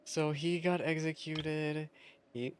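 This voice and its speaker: A woman giving casual, expressive playthrough commentary, muttering asides and repeating herself as she reacts. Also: low voice, slow, background noise. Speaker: gaming streamer reacting. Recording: clear game music behind. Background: game music.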